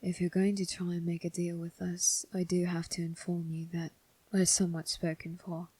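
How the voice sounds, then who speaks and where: A woman's voice: lowish voice, completely flat delivery, normal speed, dark tone, slightly rough. A woman voicing a sly, teasing demon servant with slangy, informal speech in an ASMR roleplay. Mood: wary, teasing.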